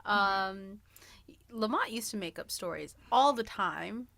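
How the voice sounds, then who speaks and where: A woman in her thirties with a bright, forward voice, chatting casually as a podcast co-host. She takes her time over the story and is playful and self-deprecating, in a dry, close-sounding recording. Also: medium pitch, slow, gravelly, no room sound. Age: early 30s.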